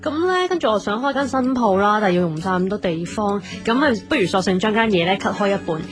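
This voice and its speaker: Brash young Hong Kong businesswoman played by an actress, mid-pitched, blunt and scolding, audio drama with prominent music behind her. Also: expressive, fast, lightly acted. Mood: brusque. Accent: Hong Kong Cantonese. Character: bossy, sharp-tongued young businesswoman, brash tomboy.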